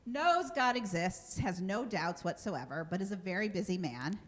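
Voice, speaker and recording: A woman rabbi telling a story to her congregation in a conversational, animated way. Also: medium pitch, moderate pace. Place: synagogue sanctuary.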